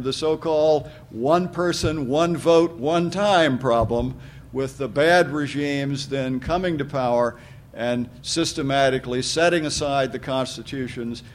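Man giving a measured academic talk. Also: mid-range voice, slight background noise. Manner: measured, halting with fillers. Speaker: panelist and moderator. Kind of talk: academic presentation, panel moderation.

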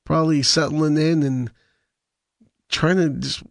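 Soft-voiced man with a strained throat. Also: a little high, steady tone, unhurried, creaky, no room sound, clean studio sound. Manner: self-deprecating, joking. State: strained, weak voice. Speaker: sports radio co-host. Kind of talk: casual banter. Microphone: studio microphone.

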